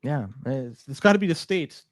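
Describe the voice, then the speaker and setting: High-pitched, animated Canadian man, profane ranter. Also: normal speed, no echo, studio quality. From Toronto, Canada. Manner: profane, incredulous, ranting. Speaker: podcast co-host. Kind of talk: casual, unscripted podcast banter.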